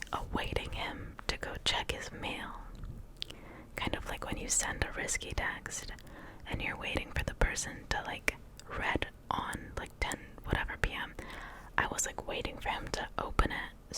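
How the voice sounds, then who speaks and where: A young woman whose first language was Portuguese, telling a rambling, self-mocking story in a close-miked ASMR whisper. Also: rough recording. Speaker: ASMR creator.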